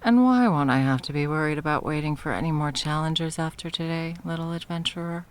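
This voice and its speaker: A woman's voice: sits low, a little fast, clean tone, natural voice. A woman playing a haughty, sadistic sorceress tyrant in a fantasy audio roleplay, with a theatrical, mocking and condescending delivery. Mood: haughty, taunting. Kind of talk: scripted ASMR fantasy roleplay.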